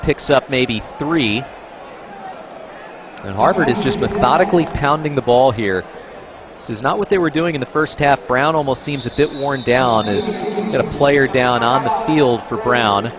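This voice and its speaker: A man calling college football play by play, brisk and informative. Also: mid-range voice. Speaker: play-by-play announcer. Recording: dull broadcast audio with crowd noise.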